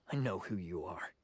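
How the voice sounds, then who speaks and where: A man's voice: low-pitched, breathy edge, no room sound, full character voice. A man playing a resentful young oni bandit in a video game, with a sneering, dramatic delivery.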